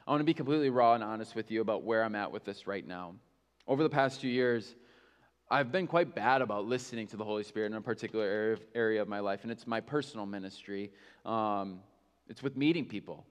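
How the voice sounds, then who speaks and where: A man's voice: fairly low pitch, quick pace, clear, resonant tone. Guy pastor giving a sermon, chatty and honest, long echo in the room. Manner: candid, conversational preaching with self-mocking asides.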